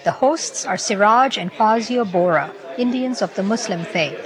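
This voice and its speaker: A woman reporter reading scripted documentary narration in a measured, even delivery. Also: mid-range voice, a crowd in the background.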